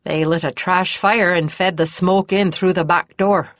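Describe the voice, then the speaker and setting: A woman's voice: fairly low pitch, fairly even intonation, a little slow, no echo, close to an everyday voice. A woman voicing a plodding, dutiful middle-aged male provost marshal with a rustic, deferential, plain-spoken manner. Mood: reporting.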